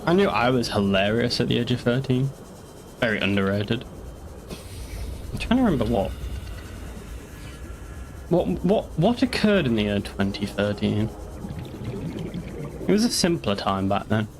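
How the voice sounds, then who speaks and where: A man's voice: mid-range voice, sing-song, a little slow, pauses often, faint music behind. A young man chatting casually while streaming a game, rambling and joking with the odd curse. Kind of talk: casual gaming commentary.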